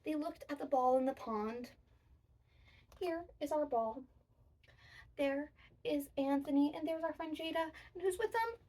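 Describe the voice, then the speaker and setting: Female children's storytime presenter, high voice, sing-song emphasis, recorded a little away from the mic. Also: a little slow, long pauses, dark tone, distant mic. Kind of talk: demonstrating a children's storytime activity and reading picture books.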